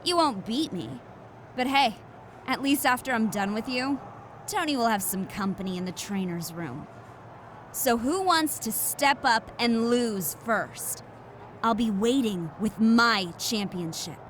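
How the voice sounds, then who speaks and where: A fairly high-pitched young woman champion wrestler in a video game scene. She is cocky and blunt, defiant in her delivery. There is faint noise behind her. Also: expressive, a little slow. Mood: boastful. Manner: confident, blunt. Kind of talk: scripted video game cutscene acting.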